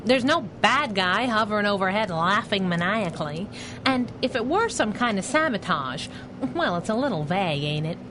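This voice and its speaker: An actress as a sassy, lively wisecracking sidekick. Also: female voice, medium pitch, moderate pace, background noise, natural voice. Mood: curious, skeptical. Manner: cheeky, slangy, teasing. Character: sassy, wisecracking young woman, superhero's loyal sidekick. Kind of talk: 1930s radio drama acting.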